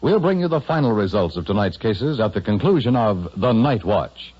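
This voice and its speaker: Man, radio announcer, lively intonation, husky, dramatic scripted delivery, dull vintage broadcast sound. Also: on the low side, moderate pace.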